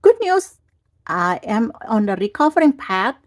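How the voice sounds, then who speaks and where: A woman's voice: on the high side, sing-song, measured pace. A woman hosting her craft channel, talking casually and rambling a little while she recovers from a cold and has a lingering cough. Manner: chatty, rambling with restarts. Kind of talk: casual craft tutorial talk. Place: indoors at a craft table. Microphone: overhead camera on a tabletop.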